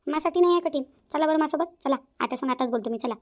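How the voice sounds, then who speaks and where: A woman's voice: high voice, very fast, a little mellow, lightly acted. A woman playing a blunt, headstrong young daughter-in-law with a Varhadi accent in a cartoon family drama. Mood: defiant. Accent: rural Maharashtra, Varhadi. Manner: outspoken, insistent, combative.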